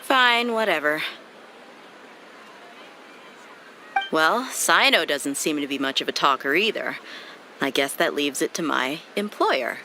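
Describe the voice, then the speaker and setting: Brash, low-voiced young mercenary. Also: female voice, lively intonation, moderate pace, noisy background. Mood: exasperated. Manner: casual, blunt. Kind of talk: video game voice acting. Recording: game music behind.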